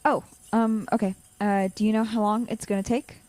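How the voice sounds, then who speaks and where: An actress playing an awkward, studious young chemistry student in an audio drama, hesitant and prone to stammering. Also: female voice, medium pitch, little pitch movement, moderate pace, dark tone, close to an everyday voice, poor audio. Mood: flustered.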